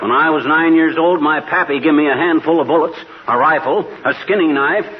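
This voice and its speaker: A man's voice: on the high side, fairly quick, lightly acted. Man playing a blustering, boastful radio husband. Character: blustering, boastful, jealous husband, teller of tall tales. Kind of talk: 1940s radio comedy acting. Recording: muffled, very noisy 1940s radio recording.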